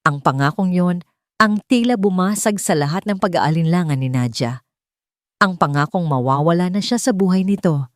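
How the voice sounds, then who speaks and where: Female narrator for romance fiction, lower register, dramatic scripted storytelling, dry sound. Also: normal speed, no echo. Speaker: storyteller of Tagalog romance audio stories.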